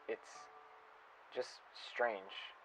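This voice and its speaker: A man playing a reflective, wistful staff member recording an audio log, speaking in a halting, pensive and sincere way. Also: sharp tone, a little hiss or hum. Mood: wistful, hesitant. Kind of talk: audio log monologue with storytelling. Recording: dull lo-fi recording with music. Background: music under the voice.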